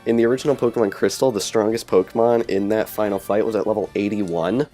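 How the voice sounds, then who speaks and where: A man's voice: on the low side, steady tone, quick pace, mellow. A man casually talking over his gameplay, rambling and correcting himself as he goes, recorded through a cheap earbud headset microphone. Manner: rambling, self-correcting, chatty. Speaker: let's play commentator. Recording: lo-fi earbud mic with game music.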